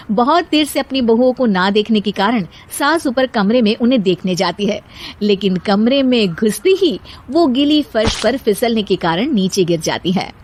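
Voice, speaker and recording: High-voiced woman telling a story. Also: a little mellow. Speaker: narrator. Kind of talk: scripted children's story narration.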